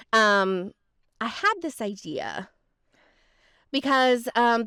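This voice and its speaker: Smooth-voiced chatty woman podcast host. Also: medium pitch, fairly bright tone, dry recording, clean studio sound. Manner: chatty and effusive, many fillers. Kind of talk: casual podcast chat.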